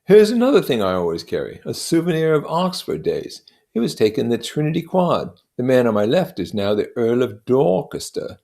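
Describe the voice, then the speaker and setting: A man voicing a boastful young gentleman war veteran in an emphatic, affectedly genteel manner, as dialogue in an audiobook. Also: medium pitch, very animated, normal speed, gravelly, few pauses, voice pushed up. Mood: proud, eager. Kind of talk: acted dialogue in an audiobook reading.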